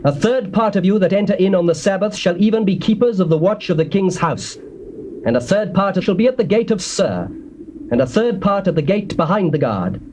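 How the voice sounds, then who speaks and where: A solemn, authoritative priest with a high male voice and mellow tone. He instructs deliberately, in declamatory Bible-drama style. Faint noise from an old cassette sits behind him. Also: expressive. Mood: solemn command. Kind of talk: dramatized scripture reading.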